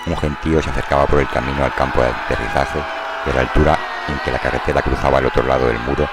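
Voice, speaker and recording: Super deep guy reading a novel for a radio drama, measured storyteller delivery, music playing behind. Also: steady tone. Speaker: narrator. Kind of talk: scripted dramatized novel narration. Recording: prominent music under the voice.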